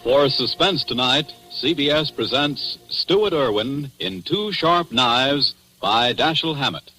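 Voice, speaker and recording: A man's voice: medium pitch, expressive, takes it slowly, sharp tone, low-quality recording. Formal 1940s network announcer man over music. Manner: formal presentation.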